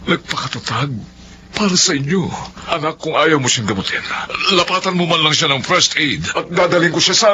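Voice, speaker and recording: An actor playing a calm, forgiving father who was wronged by his wife, with an earnest, pleading manner. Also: on the high side, normal speed, close to an everyday voice. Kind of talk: radio drama acting. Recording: dull lo-fi with loud noise.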